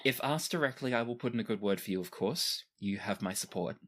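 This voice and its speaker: A man's voice: fairly low pitch, expressive, fairly bright tone. Man voicing a formal, deferential female retainer. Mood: measured, candid. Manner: formal, measured, respectful. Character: deferential professional female retainer, former adventurer, labor class.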